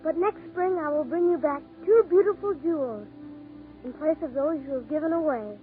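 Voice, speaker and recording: A high, gentle little swallow, played by a woman, speaks in wistful, formal, poetic lines. It is 1940s radio acting, heard through a thin vintage broadcast with faint music behind. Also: moderate pace, narrow, tinny audio, close to an everyday voice. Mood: tender, devoted. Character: small, devoted, gentle swallow, wistful storyteller.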